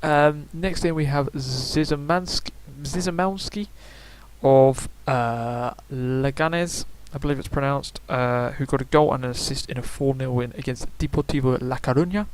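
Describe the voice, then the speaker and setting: A young man talks through his picks in a loose, rambling way. He fills gaps with "uh" and "um" and stops to correct himself. His voice sits at a middle pitch. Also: fairly even intonation, normal speed, slight background noise. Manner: chatty, rambling, frequent fillers and self-corrections. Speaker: gaming YouTuber. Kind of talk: casual predictions commentary.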